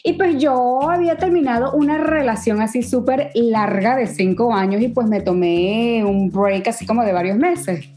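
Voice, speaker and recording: A woman in her forties from Ecuador, a podcast guest telling stories in a relaxed, chatty way. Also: on the high side, normal speed, hardly any pauses, faint music behind. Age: 40s. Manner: warm storytelling with fillers. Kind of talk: casual chat.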